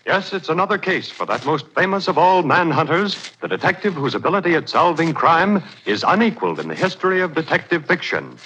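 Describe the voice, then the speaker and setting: A man's voice: fairly high pitch, sing-song, fairly quick, few pauses, pushed and bright, breathy edge, low-quality recording. A man announcing a 1940s detective radio show with dramatic, emphatic build-up.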